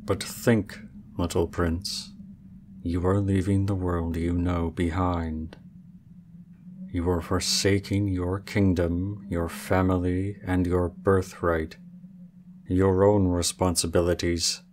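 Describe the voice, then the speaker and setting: A man's voice: sits low, little pitch movement, slow pace, darkish tone, a little hiss or hum. A man playing a proud, aloof male dragon in human form, speaking formally and with cool reserve in an ASMR roleplay. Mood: reserved, reflective.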